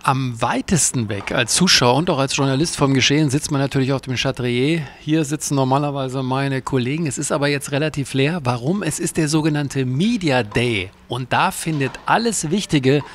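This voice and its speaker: A man's voice: medium pitch, normal speed, few pauses. A man presenting a tennis web show on location, chatting casually and colloquially straight to the viewers. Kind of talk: casual on-location reporting to camera. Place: outdoors at a tennis tournament. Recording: clearly noisy outdoor location sound.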